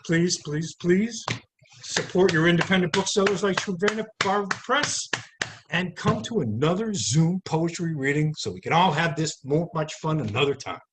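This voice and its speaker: A man reading his poetry aloud over a video call, in a deliberate, theatrical way with measured pauses. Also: on the high side, animated, noisy background. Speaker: poet reading own work. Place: live online video call. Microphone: video call microphone.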